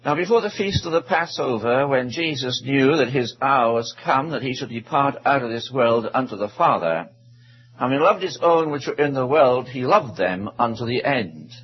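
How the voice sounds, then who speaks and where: A man with a Welsh accent preaching a sermon, reading scripture in a measured, drawn-out way. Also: medium pitch, expressive, slightly rough. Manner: measured, stretches out scripture verses. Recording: dull lo-fi recording.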